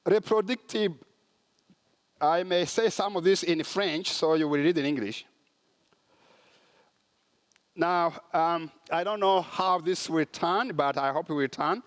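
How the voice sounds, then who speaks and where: Rwandan man preaching, halting. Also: fairly high pitch. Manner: halting, with many "uh" pauses. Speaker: conference speaker. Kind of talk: sermon-style conference talk. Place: large conference hall.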